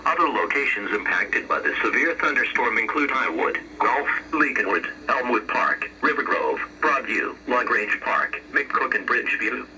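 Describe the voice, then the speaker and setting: A synthetic text-to-speech man's voice reading a scripted emergency weather alert in a flat, formal manner over a radio broadcast. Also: mid-range voice, normal speed, few pauses. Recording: muffled weather radio, faint noise.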